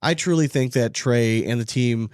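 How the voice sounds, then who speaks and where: A man's voice: medium pitch, moderate pace, darkish tone, no room sound, clean studio sound. A man co-hosting a sports podcast in a casual, rambling, informal way, with some swearing. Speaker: podcast co-host.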